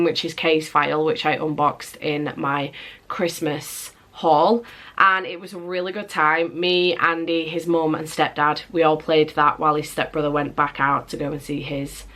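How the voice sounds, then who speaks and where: A woman's voice: fairly low pitch, fairly bright tone. A young English woman chatting casually in a vlog, her nose stuffed up from a cold, rambling and swearing freely. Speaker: vlogger. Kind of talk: casual monthly vlog chat. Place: at home, indoors.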